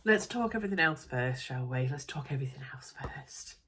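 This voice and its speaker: A chatty young woman with a Yorkshire accent, her voice thick and nasal from a head cold. She talks on in a bubbly way with plenty of small asides, her voice sitting a little on the low side. It sounds close to a home camera mic. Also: expressive, fairly quick, fairly bright tone, a little hiss or hum. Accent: Yorkshire English. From Yorkshire, England. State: congested with a cold, nasal and bunged up. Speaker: sewing vlogger. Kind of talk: chatty unboxing vlog.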